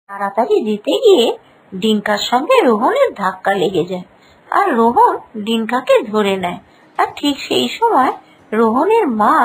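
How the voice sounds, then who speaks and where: A woman's voice: a little high, husky, poor audio. A woman narrator reading a scripted fairy tale.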